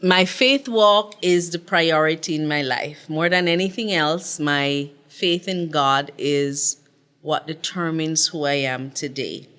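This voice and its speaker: A woman's voice: low voice, takes it slowly, a bit bright, clean tone. A middle-aged woman who grew up in Jamaica, telling her life story earnestly as a podcast guest. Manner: earnest, reflective. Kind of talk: casual interview storytelling.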